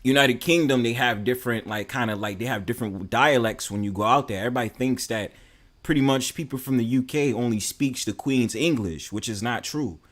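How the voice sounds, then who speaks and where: A man's voice: mid-range voice, a little fast. A young man with a New York accent, talking casually and bluntly to his stream, full of fillers and swearing. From New York City. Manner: rambling, heavy fillers, blunt and profane.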